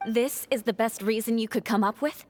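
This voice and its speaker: A woman's voice: on the high side, moderate pace, darkish tone, a little hiss or hum, natural voice. A woman playing a proud, sardonic young noblewoman knight in a video game, haughty, sarcastic and clipped. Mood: indignant. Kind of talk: video game cutscene acting.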